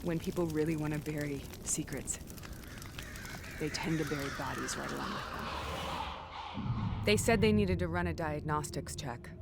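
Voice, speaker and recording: An actress playing a hardened, grimly resolute soldier in a video game, speaking in a grave, controlled way. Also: female voice, deep voice, measured pace, vocal fry, pauses often. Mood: grim, defiant. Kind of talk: dramatic game narration. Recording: loud noise behind the voice.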